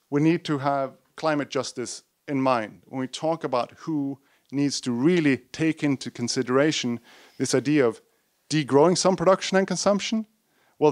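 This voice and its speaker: A man presenting his book in an academic talk, lecturing in a measured way with frequent tag questions. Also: medium pitch, no room sound, clean studio sound.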